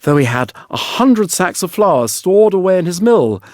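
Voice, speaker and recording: A man narrating an audiobook fairy tale in an expressive, scripted storybook style. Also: high voice, moderate pace, dry recording.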